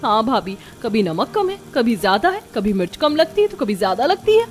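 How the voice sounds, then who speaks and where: A woman playing an overworked, aggrieved young daughter-in-law in a cartoon family drama, speaking in an expressive, complaining way. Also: fairly high pitch, a little fast.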